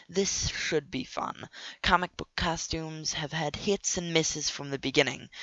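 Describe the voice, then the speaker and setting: A man's voice: fairly high pitch, a bit bright, dry recording, lo-fi sound. Nasal, sick man with sarcastic asides. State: sick with a cold. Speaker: video host. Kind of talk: scripted comic commentary.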